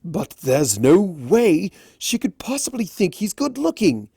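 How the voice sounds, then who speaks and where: A brash cartoon stallion put on by a story reader, scornful and argumentative. He speaks loudly, with his pitch pushed up in confrontation. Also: very animated, unhurried, creaky, slightly rough, no echo, clean studio sound, heavily acted. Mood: scornful shouting. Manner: loud, confrontational.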